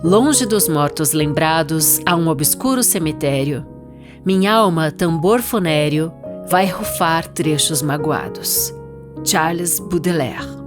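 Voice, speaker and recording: A woman with a Brazilian accent reads her own short story as a dramatized literary narration, with deliberate, expressive phrasing. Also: low-pitched, music in the background.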